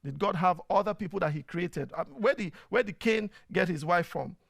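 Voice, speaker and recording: A man's voice: high-pitched, normal speed, vocal fry, no echo, studio quality. A man with a Nigerian accent, hosting a live online program and talking casually through viewers' questions with many fillers. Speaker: host. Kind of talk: casual live-stream talk.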